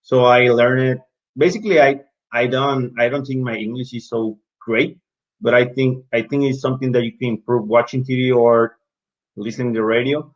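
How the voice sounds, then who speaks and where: A man's voice: mid-range voice, fairly even intonation, moderate pace. Man with Latin American Spanish accent on a dull call. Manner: repetitive restarts, asks and answers his own questions. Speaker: basketball referee speaker in an online session. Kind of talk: informal presentation to referees. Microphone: video call.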